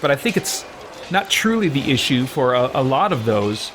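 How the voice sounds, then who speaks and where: A man's voice: medium pitch, sing-song, moderate pace, a bit bright, slight background noise. A man giving casual live-stream game commentary, rambling as he thinks aloud and acts out lines. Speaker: streamer playing a roleplay game. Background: music.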